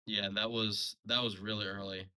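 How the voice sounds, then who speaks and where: A young man giving a casual, rambling solo sports recap, a little hoarse from a sore throat and full of fillers and restarts. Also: lowish voice, level tone, moderate pace, sharp tone. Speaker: sports podcast host.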